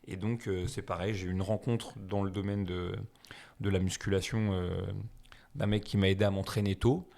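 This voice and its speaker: Low, gravelly-voiced Frenchman rambling casually. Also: steady tone, moderate pace, darkish tone. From France. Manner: rambling, self-deprecating, many fillers. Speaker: guest. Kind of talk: casual conversational interview.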